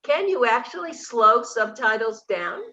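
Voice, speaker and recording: A woman's voice: fairly high pitch, very animated, measured pace, sharp tone. Woman, interview host, chatty, enthusiastic, lo-fi muffled sound. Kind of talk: casual interview conversation.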